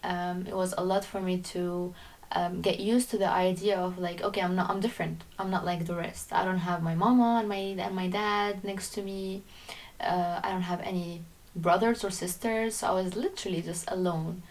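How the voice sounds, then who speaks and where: A young woman from Syria speaks candidly and reflectively as a podcast guest. She sits a little back from the microphone, so her lowish voice sounds slightly distant. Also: fairly even intonation, normal speed, a little mellow. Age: young adult. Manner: candid, reflective, restarts sentences. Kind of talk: personal storytelling interview.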